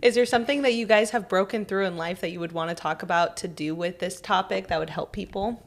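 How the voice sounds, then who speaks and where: A young woman co-hosting a podcast, relaxed and encouraging as she asks questions. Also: mid-range voice, fast. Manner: relaxed, encouraging listener with many mm-hmms. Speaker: podcast co-host. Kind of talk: casual podcast chat.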